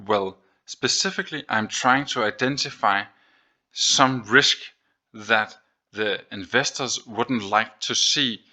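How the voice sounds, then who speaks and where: A man's voice: mid-range voice, bright, forceful tone. A man hosting a finance video, explaining figures on screen in a methodical, step-by-step way. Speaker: host of an investing video series.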